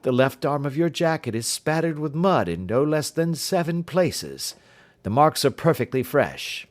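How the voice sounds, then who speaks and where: Guy reading as a brisk courteous victorian detective, very sure of himself, formal and precise, audiobook style. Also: fairly high pitch, sing-song, voice pushed up. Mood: confident, explaining. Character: brisk, confident, courteous gentleman detective, reassuring. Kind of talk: audiobook character voice.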